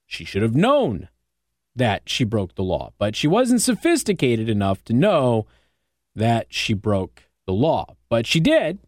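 Man, American accent, talk radio host, medium pitch, close dry microphone, emphatic conversational delivery, deliberate pauses. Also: wildly swooping pitch, darkish tone, no room sound. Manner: conversational, emphatic, with rhetorical questions and pauses for effect. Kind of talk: conservative talk radio monologue with host-read ads. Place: radio studio. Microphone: close broadcast microphone.

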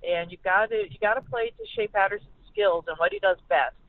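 A woman's voice: medium pitch, huge pitch swings, fairly quick, dry recording. A woman sports writer giving conversational analysis as a guest, thinking aloud somewhat hesitantly over a phone call. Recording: band-limited phone line.

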